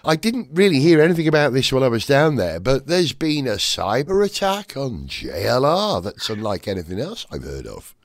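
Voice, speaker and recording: A man hosting a podcast, his voice gravelly and husky from a weekend of singing, chatting in an animated, storytelling way. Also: mid-range voice, normal speed, hardly any pauses, no echo, clean studio sound. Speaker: podcast host. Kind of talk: casual podcast chat.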